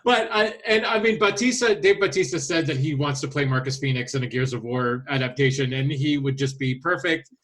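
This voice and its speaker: A man's voice: mid-range voice, fairly quick, few pauses, fairly bright tone. A man co-hosting a casual podcast, talking informally and feeling his way through his sentences. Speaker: podcast co-host. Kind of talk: casual podcast chat about films and games.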